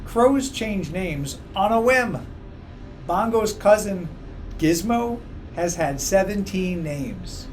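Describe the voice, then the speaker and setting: A man reading a children's book aloud in a measured, expressive way. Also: high voice, slight background noise. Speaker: story reader. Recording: music playing behind. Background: background music.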